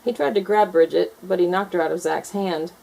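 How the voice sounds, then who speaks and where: A woman reading a children's storybook aloud in expressive narration. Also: fairly low pitch, fairly quick, vocal fry, rough recording.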